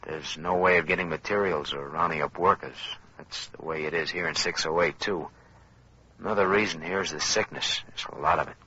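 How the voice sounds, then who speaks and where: An actor playing a grave survivor giving folksy, matter-of-fact radio reports in an old-time drama. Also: male voice, low-pitched, fairly quick, sharp tone, breathy edge, exaggerated voice. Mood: grave, resigned. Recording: muffled vintage radio broadcast.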